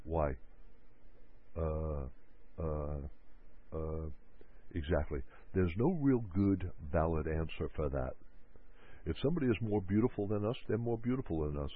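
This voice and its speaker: A low-voiced, husky man gives a motivational self-help talk. He keeps circling back to his points and slips into short acted-out bits of people's anxious self-talk. His voice comes through a narrow, phone-like radio signal. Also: lively intonation, a little slow, pauses often. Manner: repetitive, acts out quoted inner voices.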